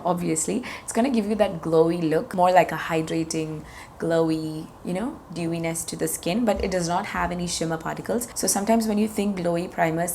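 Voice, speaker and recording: Beauty vlogger, woman, 30s, hoarse; enthusiastic and chatty, slightly low voice with vocal fry. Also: darkish tone, slight background noise. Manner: chatty, enthusiastic, product-by-product narration. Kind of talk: casual makeup review.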